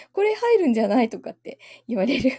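A woman's voice: high voice, big pitch swings, normal speed, mellow, slightly rough. A woman chatting casually from home on a livestream, giggling often and thanking her viewers as she goes. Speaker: livestream host. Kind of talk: casual chat with live viewers.

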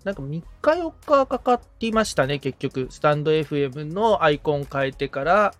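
A man's voice: on the high side, very animated, moderate pace, fairly bright tone, no room sound, faint music behind. A man chatting casually as a podcast assistant, agreeable and reflective as he takes advice. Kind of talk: casual conversation with frequent listening responses.

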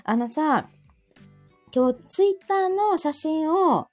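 A woman's voice: high-pitched, expressive, extremely slow, faint music behind. A woman in her forties with a Kansai accent, chatting casually and playfully as host of a live audio show, often giggly. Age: 40s. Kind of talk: casual chat.

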